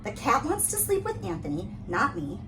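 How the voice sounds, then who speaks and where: A woman reading a picture book aloud in an animated way, with dramatic emphasis on key phrases. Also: mid-range voice, moderate pace. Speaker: read-aloud reader. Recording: noisy, distant microphone.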